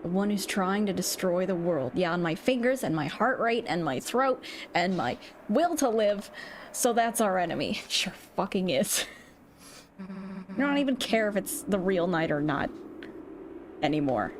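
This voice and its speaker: A woman's voice: medium pitch, animated, a little slow. A woman with a scratchy, strained voice, talking bluntly through a game and swearing often. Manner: blunt, frequent swearing, rambling. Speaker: gaming streamer. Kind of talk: casual gameplay commentary. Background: game music.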